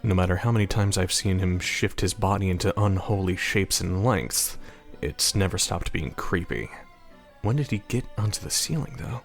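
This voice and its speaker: A man playing a weary, irritable mayor who narrates an audio drama with deadpan, grumbling sarcasm. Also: sits low, normal speed, gravelly, soft background music. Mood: dry unease. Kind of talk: first-person scripted narration with dialogue, audio drama.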